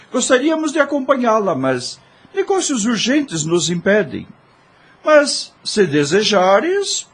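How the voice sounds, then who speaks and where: Man acting cordial gentleman, high husky voice, businesslike, formal declamation, audio drama. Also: full character voice. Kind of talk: Spiritist radio drama acting.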